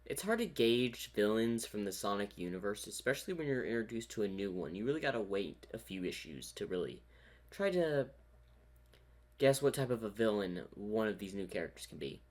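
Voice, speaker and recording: A man's voice: lowish voice, very animated, brisk. A man hosting a reaction video, musing aloud in casual commentary. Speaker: reaction host.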